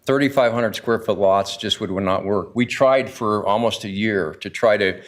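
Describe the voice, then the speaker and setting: Earnest low-voiced man in his sixties. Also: moderate pace. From Idaho. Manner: earnest and insistent, with frequent restarts. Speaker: property developer speaking at public comment. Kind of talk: public testimony at a city council meeting.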